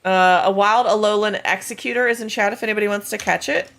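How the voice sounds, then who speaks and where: A woman with a slightly hoarse, gravelly voice, chatting casually with viewers on a game live stream while reading and answering chat. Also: medium pitch, steady tone, quick pace, fairly bright tone. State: slightly hoarse, losing her voice.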